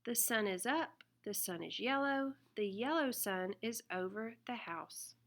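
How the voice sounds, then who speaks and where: A woman reading a children's picture book aloud in an expressive, deliberate way. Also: fairly high pitch. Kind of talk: read-aloud children's storybook narration.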